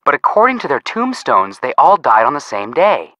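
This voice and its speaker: A man's voice: mid-range voice, huge pitch swings, normal speed, bright, forceful tone, dry recording. A man playing a teasing, sarcastic young amateur detective who wisecracks playfully in a game. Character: wisecracking, teasing young male sleuth brother. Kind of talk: scripted game voice acting.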